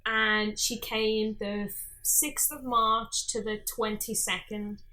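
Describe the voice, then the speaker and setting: A woman in her early twenties with a Scouse accent, telling anecdotes in casual, animated podcast chat. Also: mid-range voice, slow, poor audio. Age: early 20s. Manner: animated storyteller who acts out dialogue. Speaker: podcast guest.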